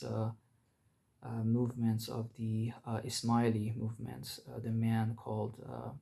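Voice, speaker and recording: A man from Iran talks through history off the cuff, stopping often to hesitate between phrases. His voice is dark and gravelly, and it barely rises or falls. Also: medium pitch. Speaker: history commentator. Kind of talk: unscripted history talk.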